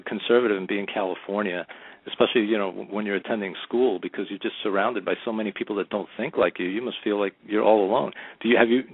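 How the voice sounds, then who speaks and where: A man hosting a talk radio show, rambling rapidly and repeating words as he asks questions. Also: lowish voice, breathy edge, no room sound. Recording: tinny phone-quality audio.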